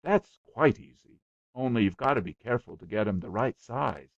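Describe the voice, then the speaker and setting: A man's voice: medium pitch, expressive, normal speed, dry recording, muffled recording, lightly acted. A man voicing a stubborn, boastful small boy who chatters eagerly. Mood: eager boasting.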